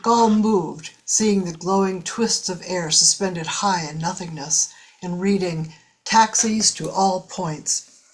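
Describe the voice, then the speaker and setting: A woman's voice: lowish voice, poor audio. A woman narrating a science fiction story in a scripted, audiobook style.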